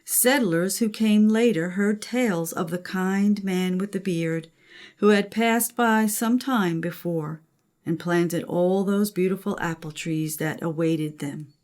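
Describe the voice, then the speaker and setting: A woman's voice: fairly low pitch, slow pace, darkish tone. An older woman, a grandmother, reading a children's storybook aloud.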